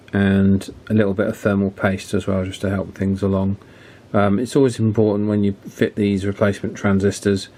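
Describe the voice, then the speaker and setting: A British man with a lowish voice talks through hands-on repair work as a hobbyist presenter. His delivery is casual and matter-of-fact, as if musing aloud while he works. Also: darkish tone.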